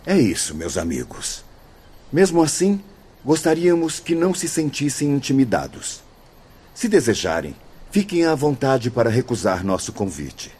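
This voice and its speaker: An actor playing an earnest, courteous spirit missionary in a radio drama, formal and gently persuasive. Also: male voice, medium pitch, wildly swooping pitch, moderate pace, husky. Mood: gentle, earnest.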